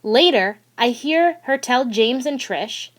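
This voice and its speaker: Slow-paced woman reading a children's storybook. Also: on the high side, lively intonation. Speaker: audiobook reader. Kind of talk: scripted children's picture book narration read aloud.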